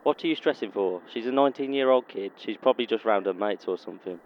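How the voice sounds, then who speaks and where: A man's voice: on the low side, fast talker, lightly acted. A man acting a casual, dismissive, defensive divorced father in an audio drama. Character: laid-back, defensive divorced dad. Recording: dull phone line, outdoor traffic.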